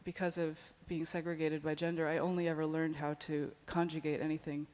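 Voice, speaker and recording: A woman panelist giving a thoughtful, measured answer at a museum panel discussion. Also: low voice, flat delivery, a little mellow. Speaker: panelist artist.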